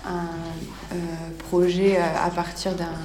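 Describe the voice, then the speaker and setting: A woman's voice: on the low side, flat delivery, unhurried, natural voice. A woman playing a bureaucratic, very hesitant prefect at a mock press conference in a radio fiction. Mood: official, hesitant. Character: official female prefect, halting, defensive, bureaucratic. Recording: roomy echo with faint noise.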